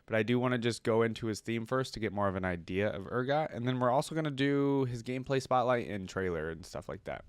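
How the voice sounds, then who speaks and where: A man giving casual reaction commentary, thinking aloud in an animated, exclamatory way. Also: medium pitch, fast, few pauses, smooth, no room sound. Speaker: reaction video host. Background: music.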